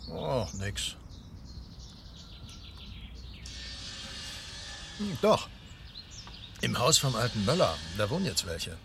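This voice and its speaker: A man's voice: mid-range voice, very animated, measured pace, creaky, background noise. A man playing an easygoing, teasing farmer neighbour in a radio drama, casual and laconic. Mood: wry.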